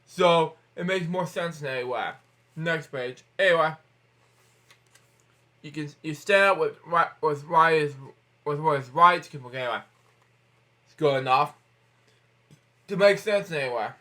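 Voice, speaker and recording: A man hosting an informal bedtime story read-aloud, speaking in a halting, repetitive way with frequent interjections and asides. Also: fairly high pitch, bright, forceful tone.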